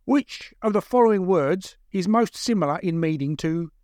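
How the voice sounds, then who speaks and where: A man's voice: high voice, lively intonation, normal speed, a little mellow, dry recording, clean studio sound, pitched above the performer's own voice. A man voicing a big, taunting male captor in an audiobook, who mocks and teases like a quizmaster. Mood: mocking, gleeful. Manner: mocking, teasing interrogator. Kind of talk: dramatised audiobook dialogue.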